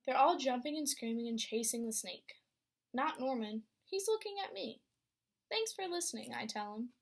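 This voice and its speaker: Young female student teacher, expressive picture-book reader, slightly high voice. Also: normal speed, vocal fry. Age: young adult. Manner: expressive storytelling with teacher questions. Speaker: student teacher reading aloud. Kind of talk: picture book read-aloud to a class.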